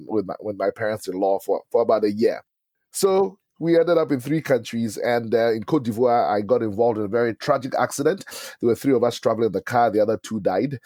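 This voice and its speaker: A middle-aged man with a Ghanaian accent tells his personal story conversationally as an interview guest, in an animated storytelling way. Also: medium pitch, no echo. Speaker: podcast guest, ministry leader and preacher.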